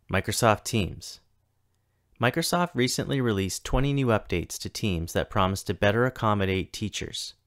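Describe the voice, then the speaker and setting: A man in his thirties giving a scripted keynote as a school technology coordinator, reading at a measured, deliberate pace with pauses between items. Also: lowish voice. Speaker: keynote speaker, tech coordinator. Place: home or office, recorded for a virtual conference.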